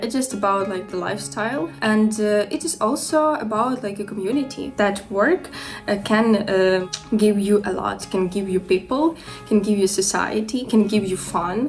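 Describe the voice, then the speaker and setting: Young woman, Ukrainian accent, interviewee, simple halting phrases, mid pitch, faint music. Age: young adult. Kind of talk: interview answers in a second language.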